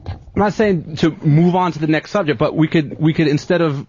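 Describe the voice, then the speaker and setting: A man with a husky voice joining in unscripted banter as a radio show panelist. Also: on the high side, brisk, darkish tone, no echo. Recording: dry, muffled lo-fi radio recording.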